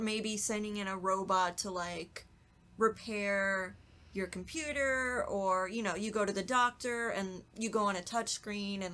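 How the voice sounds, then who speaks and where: A woman's voice: mid-range voice, sharp tone. A woman on a podcast panel, musing conversationally in a casual chat.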